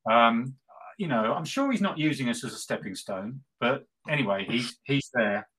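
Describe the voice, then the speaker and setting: Bright-voiced man, gleeful football fan. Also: mid-range voice, animated, normal speed, breathy edge, low-quality recording. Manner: gleeful, tongue-in-cheek, repetitive for emphasis. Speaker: football podcast co-host and West Ham fan. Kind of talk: casual football chat.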